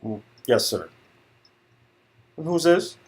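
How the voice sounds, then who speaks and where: A man's voice: on the high side, big pitch swings, slow, pitched above the performer's own voice. A man playing a deferential, eager junior talent agent in a comic dialogue reading, polite and hesitant. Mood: meek, earnest.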